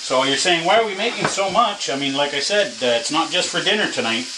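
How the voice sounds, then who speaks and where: A man's voice: a little high, lively intonation, quick pace. A middle-aged man casually talking through a home cooking demo, joking and bantering offhand. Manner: joking, offhand, bickers playfully. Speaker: trucker vlogger cooking at home. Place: small home kitchen. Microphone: camera across the room. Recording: echoey kitchen with cooking noise.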